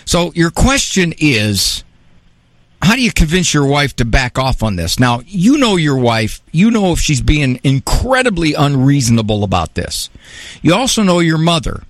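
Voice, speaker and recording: Radio advice host guy, blunt and emphatic, very animated pitch, dry close sound. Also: normal speed, dry recording.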